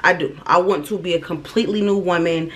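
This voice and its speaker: A young woman in her twenties from Ohio, telling her story to viewers in a casual, animated vlog and calling them "y'all". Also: fairly low pitch, fast talker. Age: 20s. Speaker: vlogger. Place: small indoor room.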